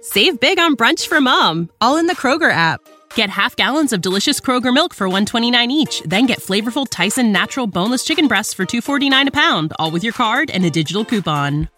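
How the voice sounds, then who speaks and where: A cheerful woman with a bright, forceful, slightly high voice reads a commercial. Faint music plays behind her. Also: expressive, brisk. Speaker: ad narrator. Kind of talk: scripted commercial read.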